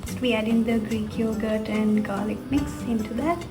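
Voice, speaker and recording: A woman's voice: fairly high pitch, steady tone, moderate pace, loud music behind the voice. A woman from Sri Lanka giving a casual, informal food vlog narration, explaining things step by step. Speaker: food vlogger.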